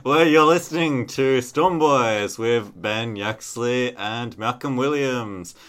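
A man's voice: mid-range voice, takes it slowly, a bit bright. An Australian man chatting casually and comedically as a podcast host.

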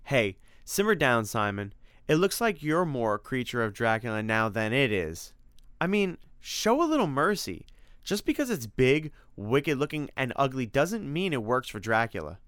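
A plucky young storybook adventurer, played by a man, eager and informal. His slangy talk sits raised in pitch, with crisp sound and no room echo. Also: big pitch swings, moderate pace, a bit bright, studio quality. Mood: pleading.

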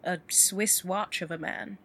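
An actress playing a wealthy businesswoman client in an audio drama, cool and measured. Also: on the low side, fairly even intonation, creaky, a bit bright, a little hiss or hum. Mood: composed. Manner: cool, measured, dryly composed.

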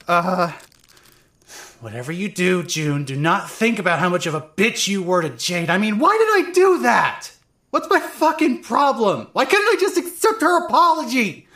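Woman acting a bitter, rambling young woman, swinging pitch. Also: big pitch swings, moderate pace, a bit bright. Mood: anxious, evasive. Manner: rambling, sweary, self-deprecating. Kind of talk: fandub audio drama, first-person monologue.

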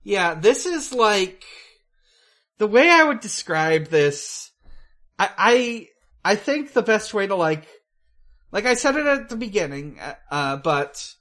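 A podcast host in casual film review chat, with a halting, rambling delivery. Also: mid-range voice, big pitch swings, fairly bright tone.

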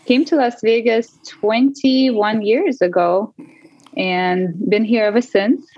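A woman's voice: fairly high pitch, slow pace, no room sound. Woman on a dull remote line. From Russia. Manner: animated, laughs often. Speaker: podcast guest. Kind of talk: casual interview storytelling. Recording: dry, dull lo-fi call audio.

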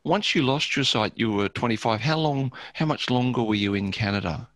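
A male interviewer with a mid-pitched voice. He asks conversationally, often rephrasing to check what he has understood, in a dry recording with little room sound. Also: normal speed, fairly bright tone, no room sound.

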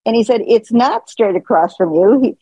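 A woman's voice: on the high side, animated, fairly quick, warm tone, breathy edge, no room sound, low-quality recording. A woman calling in, telling a story in a rambling way with fillers and chuckles. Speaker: caller. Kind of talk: casual call-in Q&A.

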